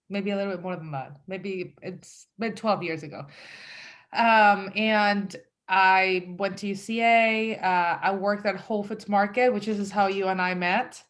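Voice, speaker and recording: A woman in her thirties from El Salvador, a guest talking casually about her business with lots of fillers. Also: medium pitch. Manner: chatty, with frequent fillers and self-quotes. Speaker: guest entrepreneur. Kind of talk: casual conversational interview.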